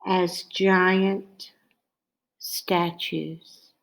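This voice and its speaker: A woman reading a children's picture book aloud as a storyteller, in a deliberate way. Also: on the low side, little pitch movement, vocal fry, poor audio.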